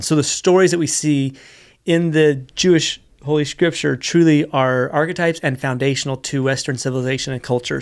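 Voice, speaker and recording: A man co-hosting a podcast, talking conversationally in an earnest, explanatory manner. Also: fairly high pitch. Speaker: podcast co-host.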